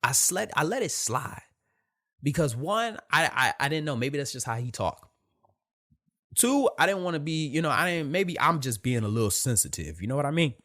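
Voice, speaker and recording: Animated soft-voiced man telling a story. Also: on the high side, moderate pace. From Detroit. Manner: animated, repeats phrases, swears casually. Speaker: podcast host. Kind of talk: casual storytelling monologue.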